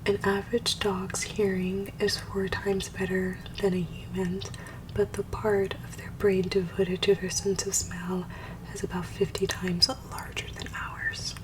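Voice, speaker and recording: A woman whispering soft-spoken ASMR at home, with low tones and a slight throat scratch, talking quickly and stumbling over hard words. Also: flat delivery, distant mic, background noise. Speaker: ASMR creator.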